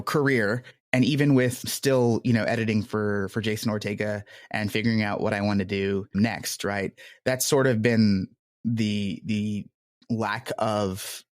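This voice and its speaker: A man's voice: on the low side, steady tone, measured pace, dry recording, studio quality. A man giving casual, rambling, self-deprecating answers as an interview guest. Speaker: podcast creator being interviewed.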